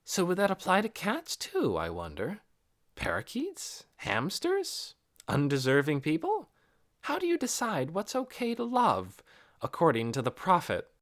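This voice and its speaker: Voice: medium pitch, wildly swooping pitch, a little slow, fairly bright tone, pitched above the performer's own voice. A narrator voicing a cautious, practical grown woman in an audiobook, skeptical and wry.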